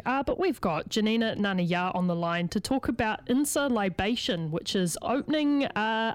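A woman with a New Zealand accent interviewing conversationally on radio, chatty and rephrasing her questions as she goes. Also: medium pitch.

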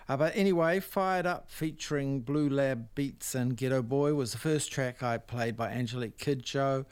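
A man's voice: medium pitch, no room sound. A man hosting a music radio show in a casual, rambling, hesitant way. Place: dry radio studio.

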